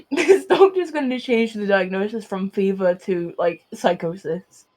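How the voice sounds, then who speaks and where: A woman's voice: mid-range voice, lively intonation, a little slow, noisy background. A young woman casually joking along as a friend's gameplay commentator, goofy and teasing. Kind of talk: casual chat. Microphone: online voice call.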